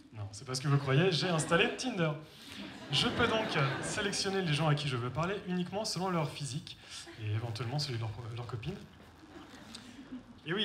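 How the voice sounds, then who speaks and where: A young man from France tells a funny personal tale to a live audience in a self-mocking, confiding way. His voice sits a little high. The stage microphone picks up the echo of the hall and a faint hum. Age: young adult. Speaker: stage storyteller. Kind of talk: comic personal storytelling on stage. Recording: echoey hall with faint noise.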